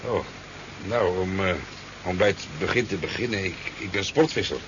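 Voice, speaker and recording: A man's voice: deep voice, moderate pace, fairly bright tone. An actor playing a talkative, easygoing sport fisherman in a 1970s radio drama, telling his tale in a hesitant, rambling way. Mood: hesitant, chatty. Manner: hesitant, rambling storytelling with stammers. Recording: dull, noisy 1970s radio recording.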